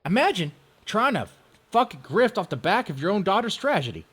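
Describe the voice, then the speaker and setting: A spiteful male blogger, as a man acts him out rants in a raised, swinging pitch. He is whiny and aggrieved, piling on indignation in a mocking dramatic reading. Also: sing-song, brisk, fairly bright tone, breathy edge, full character voice. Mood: indignant. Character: aggrieved, spiteful male blogger ranting about his enemies.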